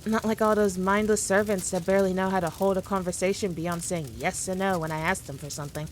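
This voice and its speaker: A woman's voice: lowish voice, steady tone, quick pace, slight background noise, lightly acted, poor audio. A woman playing a powerful demon queen in a scripted roleplay, talking down to the listener in a teasing, dominant, condescending way. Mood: coaxing. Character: haughty, playful, all-powerful female demon queen.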